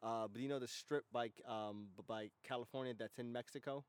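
Male podcast host, American, irreverent and foul-mouthed, loose casual banter, mid-pitched voice, closely recorded without room sound. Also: steady tone, brisk, clean tone, no room sound. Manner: slangy, profane, irreverent.

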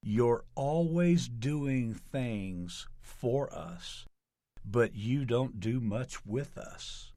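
A man voicing a solemn small-town mayor in a storytelling narration, grave, pointed and deliberate. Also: medium pitch, mellow, no room sound, studio quality. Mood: pointed, knowing.

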